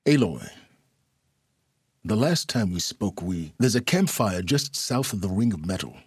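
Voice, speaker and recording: A self-serving, secretive scholar from a video game. His voice is heavily husky and very expressive in pitch, recorded close and dry. He speaks in a measured, articulate way with cool condescension. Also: dry recording, exaggerated voice. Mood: detached, matter-of-fact. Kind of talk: dramatic video game voice acting.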